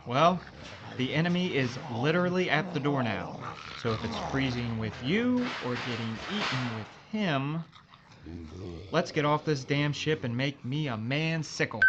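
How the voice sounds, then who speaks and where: A man's voice: medium pitch, expressive, a little slow, lightly acted. A man playing a wisecracking, game spaceship crewman in a sci-fi audio drama, with a casual, wry delivery. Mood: wry, resigned. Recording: dull recording with clear background noise.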